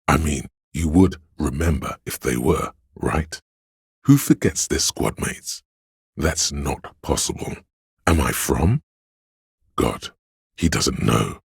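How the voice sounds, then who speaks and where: Very deep gravelly grave game narrator. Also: male voice, no echo, studio quality, exaggerated voice. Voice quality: very deep gravelly husky rasp. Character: inner voice of police camaraderie, grave omniscient male narrator. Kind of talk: dramatic literary game narration.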